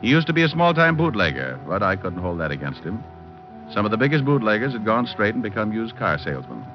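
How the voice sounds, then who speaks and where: An actor playing a cool, wisecracking private detective, narrating with dry wit in hardboiled old-time radio style. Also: male voice, on the low side, very animated, fast. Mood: wry, cool. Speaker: lead and narrator. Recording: dull old radio recording. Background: music.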